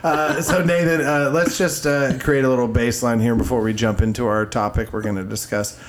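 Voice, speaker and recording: A man's voice: mid-range voice, steady tone, brisk. Joking, laughing podcast host man. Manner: laughs often, swears freely, joking. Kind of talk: casual podcast banter.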